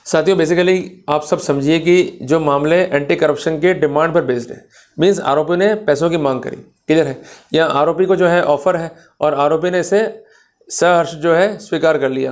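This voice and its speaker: An Indian man with a slightly high voice delivers an explanatory law lecture. He is didactic, posing questions to his listeners and answering them himself. Legal terms in English are mixed into his speech, and he checks that people are following. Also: darkish tone.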